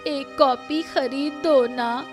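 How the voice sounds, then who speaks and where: A woman plays a stubborn young schoolgirl from a village cartoon. The voice is high and slow, plaintive and pleading, with soft music behind. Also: steady tone. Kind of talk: Hindi cartoon story voice acting.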